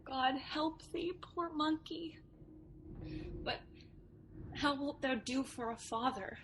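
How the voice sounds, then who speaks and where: A woman's voice: high-pitched, slow pace, fairly bright tone, slight background noise, rough recording. A woman playing an anguished noble mother, bitter and frightened, in theatrical Shakespearean radio drama. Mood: sorrowful, teasing. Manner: theatrical, emotional, declamatory.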